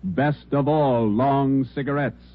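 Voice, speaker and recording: An American man reading scripted announcements in a measured, official 1950s radio style. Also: medium pitch, steady tone. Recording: muffled old radio broadcast.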